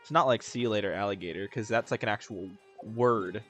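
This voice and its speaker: A man's voice: on the low side, a little fast, a bit bright, soft background music. A young man giving casual, rambling, jokey commentary as a game streamer.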